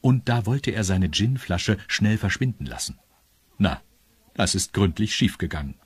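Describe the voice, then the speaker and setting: A man tells a children's story as its narrator, speaking directly and confidingly to young listeners. His voice is deep and rough-edged. The studio sound is dry and close. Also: sing-song, unhurried, no echo.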